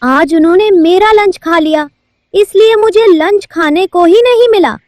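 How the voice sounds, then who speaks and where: Lady voicing a tiny pigeon boy, whiny cartoon kid, super high and slow. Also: darkish tone, no room sound, clean studio sound. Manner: childlike, pleading. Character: meek young boy pigeon student. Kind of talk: cartoon voice acting.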